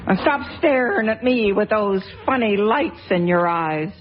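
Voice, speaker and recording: A woman's voice: mid-range voice, expressive, a little slow, lightly acted. An actress playing a stubborn, fussy old lady who scolds in folksy old-fashioned talk, in a radio drama. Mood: scolding. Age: older. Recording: dull lo-fi radio recording with faint music.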